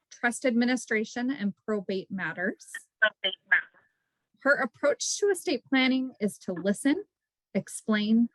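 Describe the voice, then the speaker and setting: A woman's voice: mid-range voice, no echo, low-quality recording. A woman hosting an online event, giving an informal spoken introduction of a speaker. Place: online video call.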